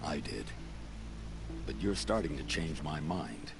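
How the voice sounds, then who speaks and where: An actor playing a gruff, resolute warrior ally in a video game, with a commanding manner. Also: male voice, rumbling low, expressive, measured pace, very noisy, exaggerated voice. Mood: grave. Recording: loud game music and battle sound. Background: loud game music.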